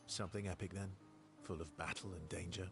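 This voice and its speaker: Deep, gravelly mysterious stranger. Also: male voice, steady tone. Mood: playful. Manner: measured, dryly teasing, understated. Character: guarded, wry, mysterious male stranger, calm ally. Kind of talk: naturalistic video game cutscene acting. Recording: music behind the voice.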